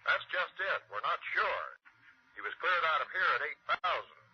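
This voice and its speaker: A man's voice: fairly high pitch, animated, moderate pace, breathy edge. A man acting a terse airline radio caller in a 1960s radio drama. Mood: matter-of-fact. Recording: dull radio transmission with faint noise.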